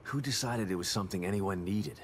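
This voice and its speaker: A man's voice: low voice, brisk, slight background noise, natural voice. An actor playing a weary, grim, guarded American detective father in a video game, brooding and skeptical. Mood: bitter, skeptical. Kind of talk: video game cutscene acting.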